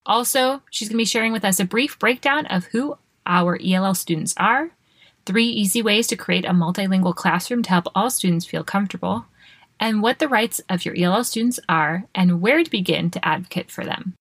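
A woman's voice: medium pitch, fairly quick, fairly bright tone, husky, no room sound. A woman hosting an education podcast, reading a scripted intro in an upbeat, promotional way. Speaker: podcast host.

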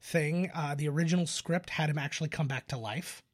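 A man's voice: fairly high pitch, a little fast. Rambling man co-hosting a film podcast. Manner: rambling, explanatory, frequent self-interruptions. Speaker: podcast co-host. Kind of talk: casual film discussion banter.

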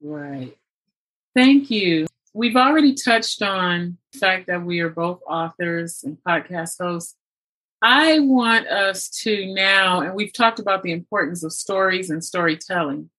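A woman hosting an interview, guiding her guest with polite, deliberate questions. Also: fairly low pitch, expressive, a bit bright, rough recording. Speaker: podcast host and author.

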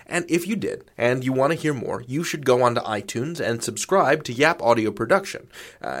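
A man's voice: medium pitch, creaky. A man hosting a podcast in a chatty, informal, jokey style with quirky, self-deprecating asides. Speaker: podcast host. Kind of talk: chatty scripted podcast outro. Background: faint music.

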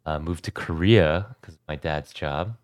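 A young American man with a very deep, smooth voice talks through his story in a loose, rambling way, restarting sentences as he goes. The sound is dry and close. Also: unhurried, no echo. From United States. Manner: relaxed, rambling, frequent restarts and fillers. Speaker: podcast guest. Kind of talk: casual conversation.

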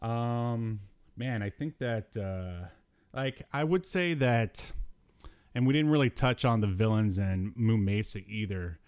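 Low-voiced man in casual podcast talk. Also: expressive, slow, a little mellow, smooth, no echo. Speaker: podcast co-host. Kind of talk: casual unscripted discussion.

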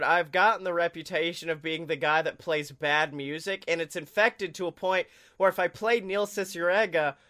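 A man's voice: high voice, sharp tone. A man co-hosting a comedy podcast, chatting casually with boisterous, goofy riffing. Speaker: podcast co-host.

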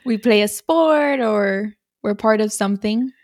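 A woman's voice: medium pitch, a little slow, a little mellow. A woman co-hosts a casual podcast, her voice in a middle range. She reacts with short comments and asks easy follow-up questions. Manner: laughs along, reacts briefly. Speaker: podcast co-host. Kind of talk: casual chat.

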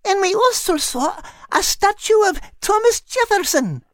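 A man's voice: extremely high pitch, big pitch swings, measured pace, no room sound, clean studio sound, pitched above the performer's own voice, exaggerated voice. A man voicing a sweet, cheerful female dog, for a storybook. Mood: sweet, caring. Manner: sweet, bright, eager.

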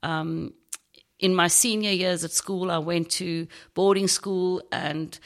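South African woman, middle-aged, low-pitched. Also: steady tone, takes it slowly, vocal fry. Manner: thoughtful, hesitant with many ums. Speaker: podcast guest. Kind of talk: casual interview conversation.

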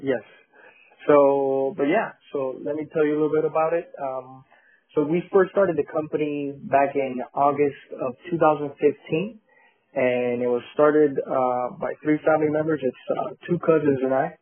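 Halting man on a tinny line. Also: on the high side, steady tone. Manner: halting, many fillers and restarts. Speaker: company co-founder, guest. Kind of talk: casual interview.

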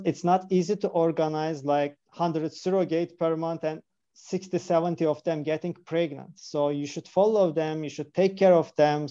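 A man's voice: fairly high pitch, warm tone. A man from Turkey with a Turkish accent, an embryologist speaking earnestly in non-native English during a remote interview. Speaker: embryologist and clinic director. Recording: dull lo-fi call audio.

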